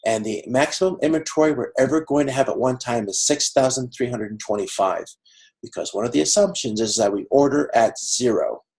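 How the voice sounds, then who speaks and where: A man with a creaky voice teaching an online class informally, explaining step by step in a halting way on a video call. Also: mid-range voice, darkish tone, poor audio. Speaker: instructor of an online college class. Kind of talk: informal lecture working through a cost example. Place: video conference.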